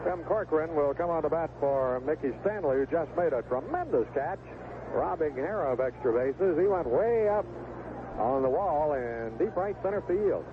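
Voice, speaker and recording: A man's voice: on the high side, expressive, normal speed, narrow, tinny audio. A man calling baseball play-by-play live on the radio, heard through a noisy old broadcast recording with stadium ambience behind him. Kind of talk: live radio sports commentary.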